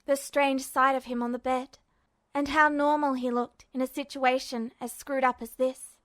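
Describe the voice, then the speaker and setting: A woman's voice: high-pitched, little pitch movement, normal speed, a bit bright. A woman reading scripted fiction aloud as a narrator. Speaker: podfic narrator.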